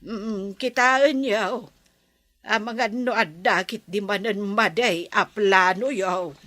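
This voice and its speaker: A woman playing a mother in a comedy radio drama, speaking in a slow, advising tone. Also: medium pitch, sharp tone, no echo. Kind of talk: Ilocano radio drama acting.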